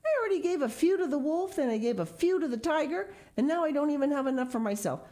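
A woman plays a greedy little storybook boy with a piercing, high voice full of scorn. He snaps and sputters with indignation, sliding up and down in pitch as he talks. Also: big pitch swings, fairly quick, a little mellow. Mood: furious. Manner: shrill, snorting, indignant. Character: greedy, selfish little boy, quick to rage.

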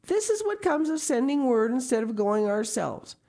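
A woman voicing a stern, sharp-tongued older woman from a storybook, scolding in a brisk, curt, emphatic way. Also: low voice, expressive. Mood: indignant, wrathful. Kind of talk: storybook character reading.

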